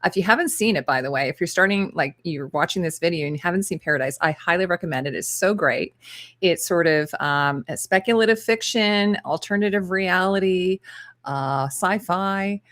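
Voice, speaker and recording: A woman's voice: fairly low pitch, moderate pace, a bit bright. A woman hosting a channel interview over a video call, upbeat and enthusiastic, with chatty asides to viewers. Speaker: interview host.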